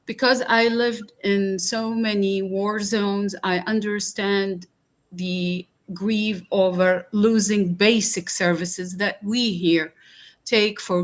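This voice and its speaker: A woman from Iraq speaks slowly and earnestly on a podcast. She leaves many pauses and fillers. Her voice sits at an ordinary middle pitch. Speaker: guest speaker. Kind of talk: reflective podcast talk.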